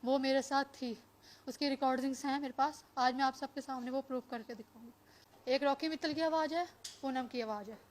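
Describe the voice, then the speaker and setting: A woman with a high voice makes a public statement. She speaks defiantly and emphatically, dropping in English words, as a complainant addressing the media. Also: fairly even intonation, brisk, slight background noise. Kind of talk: impassioned spoken statement to media.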